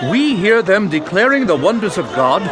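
A man's voice: high voice, very animated, moderate pace, a crowd in the background. An actor playing an astonished male pilgrim, exclaiming emphatically in a dramatized audio Bible. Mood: amazed, bewildered. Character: astonished man among pilgrims, exclaiming in wonder. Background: music.

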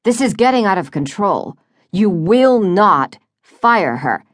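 Woman playing an exasperated low-voiced young businessman. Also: lively intonation, slow pace, dry recording. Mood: angry. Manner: curt, exasperated. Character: wealthy, impatient young businessman, exasperated grown son.

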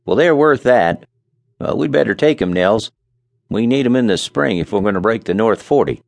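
A man's voice: on the low side, animated, moderate pace, gravelly, no echo. A man voicing a blunt, grumbling rural farmer with folksy speech.

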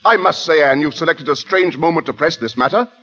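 A man's voice: on the high side, a little fast, over music, natural voice. An actor playing a petulant, easily swayed French king in haughty, theatrical old radio drama style. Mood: peevish. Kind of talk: 1930s-40s radio drama acting. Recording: dull vintage radio recording.

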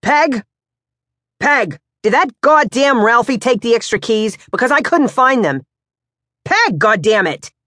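A woman voicing a hot-tempered, blustering middle-aged father who shouts and curses. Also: on the high side, animated, a little slow, dry recording, clean studio sound, pitched above the performer's own voice. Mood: irritated. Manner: shouting, cursing, explosive.

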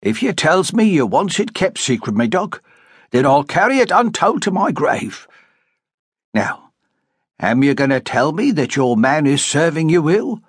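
A man's voice: fairly high pitch, sing-song, a little slow, husky, dry recording, studio quality, voice pushed up, full character voice. A man voicing a stout, bossy middle-aged innkeeper's wife, blunt and scolding in earthy dialect. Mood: coaxing.

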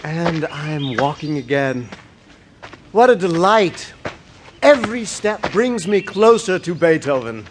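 An actor playing a poor, joyful young German musician in an audio drama, rapturous and declamatory. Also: male voice, fairly high pitch, very animated, slow, background noise. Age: young adult. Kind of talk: dramatized audio play acting.

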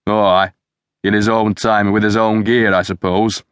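A man's voice: on the low side, fast, fairly bright tone, no echo, studio quality, close to an everyday voice. A man voicing a fat, sarcastic, blustering senior police detective in an audiobook. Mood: sly, sarcastic. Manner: bellowing, sarcastic, coarse. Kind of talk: audiobook character dialogue.

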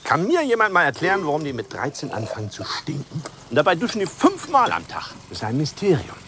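A man's voice: on the high side, wildly swooping pitch, fast, background noise. An actor playing an exasperated middle-aged father in an audio film drama, with a dry, mock-official, theatrical manner.

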